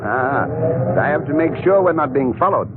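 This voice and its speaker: An actor playing a genteel, self-loathing blackmailer in a 1940s radio drama, speaking in a mannered, fussily polite, husky way. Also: male voice, fairly high pitch, animated, moderate pace, heavy background noise, lightly acted. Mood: polite, cautious. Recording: muffled, narrow-band 1940s radio recording.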